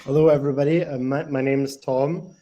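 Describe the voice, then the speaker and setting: A man in his thirties from Germany, introducing himself as a student on a video call panel, relaxed with a few hesitations. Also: medium pitch, brisk, lo-fi sound. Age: 30s.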